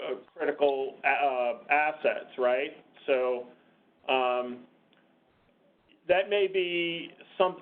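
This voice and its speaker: Man, call moderator, unhurried halting delivery, muffled phone-quality audio. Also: on the high side. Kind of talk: unscripted meeting discussion. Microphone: conference call line.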